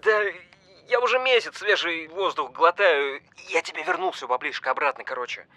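A man's voice: fairly high pitch, big pitch swings, moderate pace, pushed and bright, no echo. An actor playing a hard-up small-time scammer and young father, awed and sheepish, using hesitant street slang in an audio film. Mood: awed, earnest.

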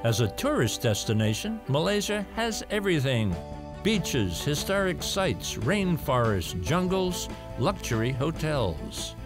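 A man giving scripted documentary narration in a measured, listing style. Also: a little high, big pitch swings, music in the background.

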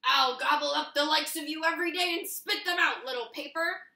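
A woman voicing a big, menacing printer monster in a storybook, loud and threatening. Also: sits high, a little fast, far from the mic, pitched above the performer's own voice. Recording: roomy kitchen, camera a few feet away.

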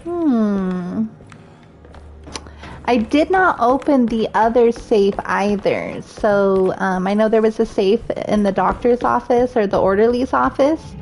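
A woman's voice: a little high, takes it slowly, a little mellow, soft background music. A woman with a scratchy voice chatting while she plays a game and thinks aloud. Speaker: gaming streamer. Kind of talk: let's play commentary.

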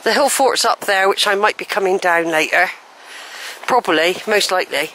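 A woman's voice: mid-range voice, moderate pace, sharp tone, a little hiss or hum, poor audio. An older woman, chatting and thinking aloud as she films a woodland walk, a little wheezy. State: a bit wheezy. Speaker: walking vlogger. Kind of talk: casual walking commentary. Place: wooded track outdoors. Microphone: handheld camera while walking.

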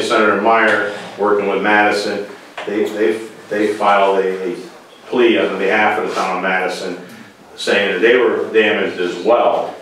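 A man's voice: lowish voice, a bit bright, slight background noise. A man seated at a meeting table, talking bluntly and at length about town business, recorded by a far camera. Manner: blunt, opinionated, talks in runs. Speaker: first selectman. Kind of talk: meeting discussion. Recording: very echoey room, from far off.

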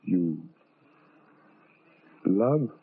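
A man's voice: low-pitched, lively intonation, extremely slow, gravelly, breathy edge, exaggerated voice. An actor playing a tormented, bitter, self-loathing man in a theatrical, poetic old-time radio drama, on a tinny recording. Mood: wounded, wondering.

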